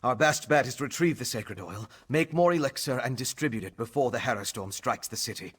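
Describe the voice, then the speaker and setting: A man playing a level-headed adviser in a fantasy video game, speaking in a measured, deliberate manner. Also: mid-range voice, lively intonation, breathy edge. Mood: focused.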